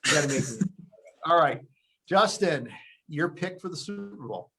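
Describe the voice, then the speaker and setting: A man's voice: fairly high pitch, expressive, unhurried, vocal fry, fairly bright tone, breathy edge, soft background music, rough recording. A man hosting a sports preview show, giving his commentary in a conversational way. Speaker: sports show host and pundit.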